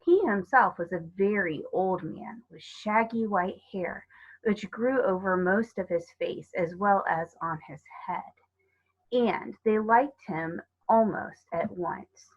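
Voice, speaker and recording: A woman narrating a children's story aloud in a measured, expressive read-aloud way. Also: mid-range voice, husky, highs cut off. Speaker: storybook reader.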